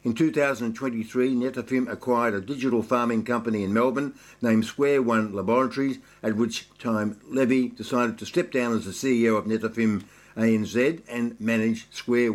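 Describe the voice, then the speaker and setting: Male show host, reads a formal intro briskly, plain mid-pitched voice. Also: steady tone. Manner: brisk, formal read-through. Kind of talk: scripted introduction read aloud.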